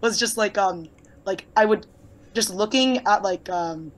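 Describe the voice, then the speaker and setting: A woman's voice: medium pitch, measured pace, low-quality recording. A woman in her twenties hosting a podcast, talking in a rambling, casual way with lots of fillers and the odd swear word. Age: 20s. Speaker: podcast host. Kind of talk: casual conversation.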